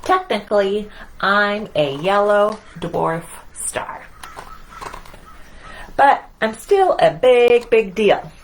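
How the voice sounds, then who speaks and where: A woman's voice: mid-range voice, expressive, very slow, long pauses, slight background noise, rough recording. A woman voicing a cocky, self-important Sun in a children's picture-book read-aloud, bragging with theatrical emphasis. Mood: smug, showy.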